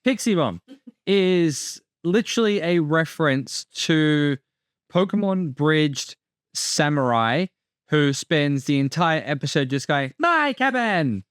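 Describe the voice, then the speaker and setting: A man's voice: fairly high pitch, expressive, slow, fairly bright tone, clear, resonant tone, no echo, clean studio sound. A man casually explaining a reference in an offhand, conversational way.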